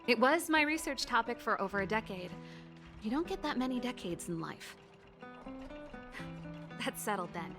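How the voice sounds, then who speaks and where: Breezy teasing big sister inventor from a video game, highish voice, bit of music behind. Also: female voice. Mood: upbeat, confident. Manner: brisk, teasing, expressive. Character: breezy, playful older sister, confident inventor and former researcher.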